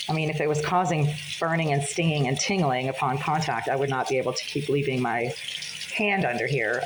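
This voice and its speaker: A woman with a lowish, raspy voice records a long monologue to her phone in her apartment bathroom. She talks in an insistent, accusatory way and comes back to the same points again and again. Her voice drifts closer to and farther from the phone as she moves around the room. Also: a bit bright, rough recording. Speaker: vlogger. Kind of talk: rambling monologue to camera.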